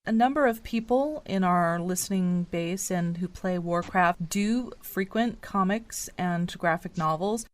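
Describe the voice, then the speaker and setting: A woman's voice: lowish voice, measured pace, few pauses. A woman hosting a podcast, asking questions in a conversational interviewing style. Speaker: podcast host.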